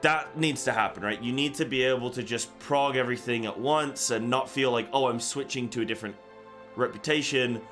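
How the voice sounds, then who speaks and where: A man's voice: medium pitch, a little fast, a bit bright. A man giving casual, off-the-cuff gaming commentary as an online host, rambling and often repeating himself. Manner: rambling and repetitive, talking off the cuff. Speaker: gaming content creator and host. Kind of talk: casual reaction commentary on a game announcement. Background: background music.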